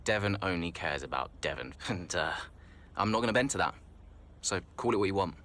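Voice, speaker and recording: A man's voice: low voice, expressive, brisk, fairly bright tone. A man playing a guarded young racing driver being interviewed in a video game cutscene. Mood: irritated, guarded.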